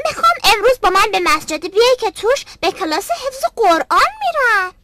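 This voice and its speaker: An earnest young boy from a religious cartoon. The voice is extremely high and sounds sure of itself, explaining in a bookish manner. There is clear noise in the recording. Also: sing-song. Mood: eager. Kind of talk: dubbed educational cartoon acting.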